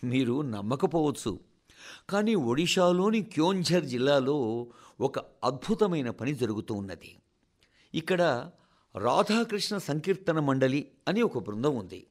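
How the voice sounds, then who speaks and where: A man reads a formal scripted radio address as a presenter, in a measured, rhetorical way that speaks directly to listeners. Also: on the high side, very animated. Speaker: radio presenter reading a translated national address.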